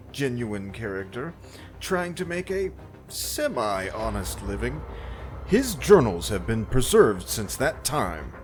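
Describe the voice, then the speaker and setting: A man acting in an audio drama as a roguish starship captain, with a wry, sardonic delivery. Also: medium pitch. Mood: grand, hearty. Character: cocky roguish male starship smuggler captain. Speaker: narrator and lead actor.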